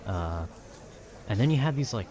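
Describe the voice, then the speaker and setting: A man casually rambling about a video game with nerdy enthusiasm, often halting to search for words. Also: lowish voice, animated, muffled recording, noisy background. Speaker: voice actor making a rambling ambience video.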